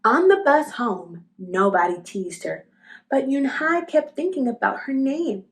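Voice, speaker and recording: A woman reads a children's story aloud. Her voice sits fairly high and moves through a lively, wide range as she narrates expressively. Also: unhurried. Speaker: storybook reader.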